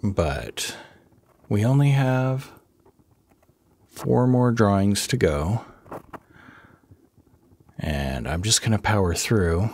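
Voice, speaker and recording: Tired, raspy man narrating slowly. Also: on the low side, lively intonation. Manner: slow, rambling, soft-spoken with chuckles. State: under the weather, low energy. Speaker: art channel host. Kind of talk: relaxed drawing voice-over.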